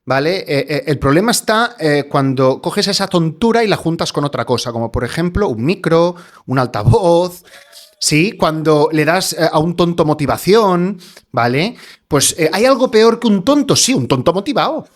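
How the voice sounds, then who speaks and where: Male guest, somewhat high voice, hesitant explainer on a podcast mic. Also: animated, normal speed. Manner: hesitant, many fillers and rhetorical tags. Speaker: scientist guest. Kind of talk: explanatory interview talk.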